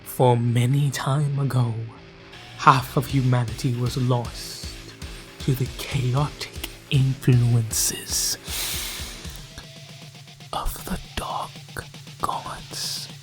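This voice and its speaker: A narrator telling dark fantasy lore in an ominous, grandiose, drawn-out style that often sinks into hushed whispers. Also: mid-range voice, level tone, faint music behind. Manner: theatrical, drawn-out delivery with frequent hushed whispers. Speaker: narrator of a fantasy audio drama. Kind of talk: dramatic scripted lore narration.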